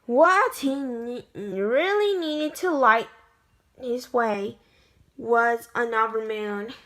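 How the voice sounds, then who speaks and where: A woman reading a children's picture book aloud in a halting way, stumbling over words as she goes. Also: a little high, a bit bright. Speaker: amateur story reader.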